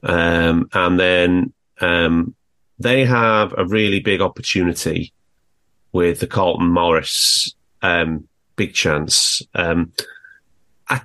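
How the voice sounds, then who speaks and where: Deep-voiced man hosting a football podcast. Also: fairly even intonation, slow pace, bright, forceful tone. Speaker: podcast host. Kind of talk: casual football chat.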